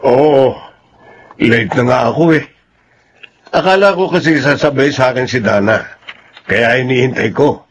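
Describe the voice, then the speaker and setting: An actor playing a principled, mildly stern middle-aged father in a radio drama, measured and reproving in manner. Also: medium pitch, noisy background, close to an everyday voice. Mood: disappointed.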